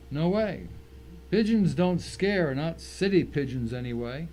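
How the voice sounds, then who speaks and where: Higher-voiced man narrating dramatically. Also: a little mellow, rough recording. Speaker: story narrator. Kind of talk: dramatic first-person audiobook narration. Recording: faint noise and ambience behind.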